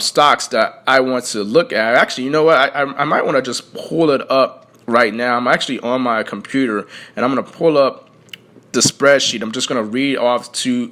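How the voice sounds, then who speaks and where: A young man in the middle of his pitch range talks loosely to his viewers, as if thinking aloud. He rambles, stacks up fillers and keeps restarting his sentences. The tone is plain and conversational. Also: pushed and bright. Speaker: personal finance vlogger. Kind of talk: casual unscripted talk to viewers.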